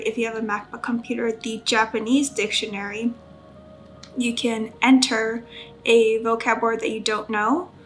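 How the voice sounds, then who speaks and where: Female study vlogger, very unhurried delivery, casual and careful with pronunciation, light music underneath. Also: fairly high pitch. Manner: self-conscious, careful when pronouncing foreign words. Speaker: study vlogger and Japanese learner. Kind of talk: casual study-with-me chat, sounding out vocabulary.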